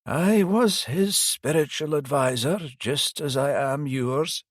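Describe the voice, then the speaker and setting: Guy doing a sad old priest, slow and gentle, high soft voice, dry recording. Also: dry recording. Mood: sorrowful. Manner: soft, slow and sighing, higher than the narrator. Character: elderly male priest, gentle and sorrowful.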